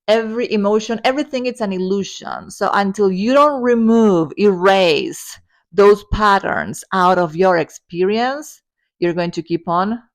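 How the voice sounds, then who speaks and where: A woman in her forties talking earnestly and upbeat in a personal spiritual vlog, now and then laughing lightly. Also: medium pitch. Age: 40s. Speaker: spiritual self-development vlogger.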